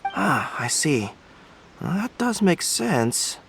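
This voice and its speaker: A man's voice: on the high side, big pitch swings, takes it slowly, creaky, a bit bright, slight background noise, heavily acted. A man voicing an earnest young male officer in an anime game, polite and a little hesitant.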